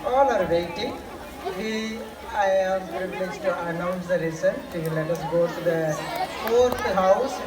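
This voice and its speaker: Distant formal speaker over loud crowd. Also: medium pitch, measured pace. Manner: formal, addresses the crowd, announces with rising emphasis. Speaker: school official announcing results. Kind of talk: outdoor school ceremony speech. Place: outdoor school assembly. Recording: echoey, distant mic, loud crowd and noise.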